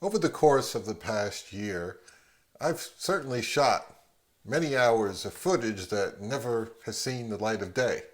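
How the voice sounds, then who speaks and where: A man hosting a video, explaining things casually in an unhurried, self-deprecating way. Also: fairly low pitch. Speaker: video host.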